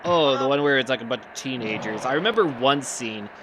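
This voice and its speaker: A man's voice: medium pitch, animated, a little fast, noisy background. A man with a Minnesotan accent giving casual game commentary with friends, joking and teasing as he rambles. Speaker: stream commentator. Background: game music.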